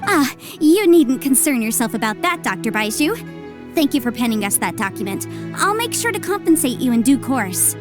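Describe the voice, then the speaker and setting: An actress playing a confident young legal adviser in a video game, speaking in crisp, formal, lawyerly phrases. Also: female voice, high voice, sing-song, moderate pace, over music. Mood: polite, brisk. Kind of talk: video game cutscene voice acting.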